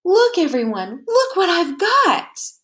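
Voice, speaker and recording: A woman voicing an eager, excitable little girl mouse pupil in a storybook. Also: extremely high pitch, takes it slowly, pitched above the performer's own voice, heavily acted. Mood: excited, urgent.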